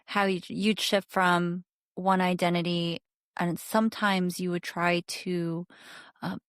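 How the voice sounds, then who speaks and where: A woman co-hosting a podcast, explaining ideas in a measured academic way and asking probing questions. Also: fairly low pitch, little pitch movement, smooth, no echo, clean studio sound. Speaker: podcast co-host. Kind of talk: academic interview conversation.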